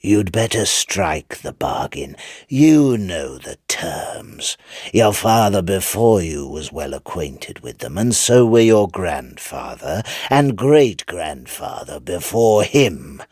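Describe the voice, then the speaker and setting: A man voicing a sly, menacing devil in a storybook reading, breathy and whispery, with slow, drawn-out, sinister delivery. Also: sits low, very animated, darkish tone, dry recording, clean studio sound, exaggerated voice. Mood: coaxing, menacing. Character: sly, menacing male devil chanting rhymes.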